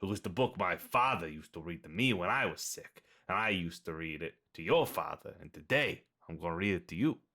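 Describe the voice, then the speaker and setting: A person voicing a gentle, wry old grandfather with a dry, sarcastic manner, in a table read. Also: sits low, animated, fairly quick, few pauses, pitched below the performer's own voice, exaggerated voice. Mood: enthusiastic. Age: older.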